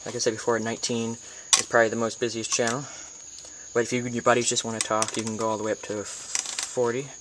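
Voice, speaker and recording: An American man giving a casual, hands-on video tutorial in an informal, halting way, thinking aloud as he goes. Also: medium pitch, level tone. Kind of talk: casual hands-on how-to demonstration. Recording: noisy amateur camera recording with clicks.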